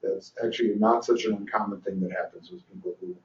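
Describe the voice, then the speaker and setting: A man's voice: fairly low pitch, fairly even intonation, quick pace. An older man giving a lecture at a training session, talking with dry humor and lots of ums and restarts. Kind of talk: conference lecture. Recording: roomy, distant, dull lecture recording.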